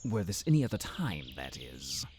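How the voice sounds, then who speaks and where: An actor playing a pompous, conniving town advisor in a fantasy audio drama, haughty and mock-polite in a drawn-out delivery. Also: male voice, sits low, huge pitch swings, darkish tone, slight background noise. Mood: smug, scheming. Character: pompous, conniving male town advisor, smug and easily enraged. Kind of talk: fantasy audio roleplay acting.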